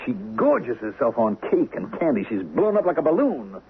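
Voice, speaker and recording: An actor playing a two-faced, smooth-talking scheming husband in a 1970s radio drama, persuasive and theatrical, on a muffled old recording. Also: male voice, fairly high pitch, wildly swooping pitch, moderate pace, a little hiss or hum. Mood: exasperated, disgusted.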